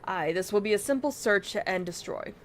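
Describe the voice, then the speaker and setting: A woman's voice: mid-range voice, animated, normal speed, a little hiss or hum, lightly acted. A woman reading a confident, formal military commander's briefing aloud from a fantasy video game. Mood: brisk, confident. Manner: formal, orderly, declarative. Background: video game music.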